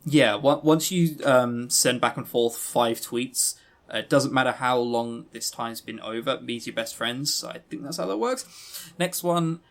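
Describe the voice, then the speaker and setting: A man's voice: mid-range voice, a little slow, gravelly. Man, podcast host, mid-range voice, casual rambling review, wry, sweary, emphatic on key words.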